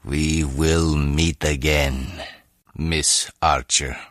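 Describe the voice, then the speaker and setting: A man's voice: very deep voice, little pitch movement, drawn-out words, fairly bright tone, no room sound, studio quality, heavily acted. A man playing a ruthless, menacing villain crime boss in a video game, giving commands.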